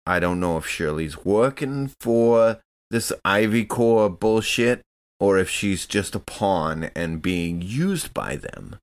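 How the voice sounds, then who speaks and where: A man playing a tabletop game, discussing choices casually in an earnest, deliberate way. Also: sits low, lively intonation.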